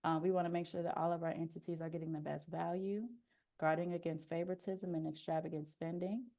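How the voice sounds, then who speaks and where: Soft-spoken woman with a gravelly low voice. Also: fast talker. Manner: explanatory, steady, with frequent "um" fillers. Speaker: deputy procurement officer presenting a webinar. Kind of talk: informational webinar presentation. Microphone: headphone mic on a video call.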